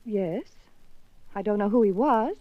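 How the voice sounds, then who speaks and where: An actress playing a nervous, frightened doctor's wife in a 1950s radio drama, flustered and defensive. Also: female voice, medium pitch, expressive, unhurried, dry recording, lightly acted. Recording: dull old radio broadcast.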